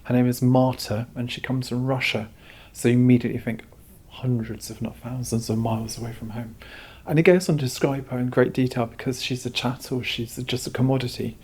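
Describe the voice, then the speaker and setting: A man with a husky, slightly rough voice talks as an interviewed curator. He is earnest and reflective, and his intonation stays very flat. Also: mid-range voice, fairly quick. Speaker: exhibition curator being interviewed. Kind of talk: explanatory interview talk.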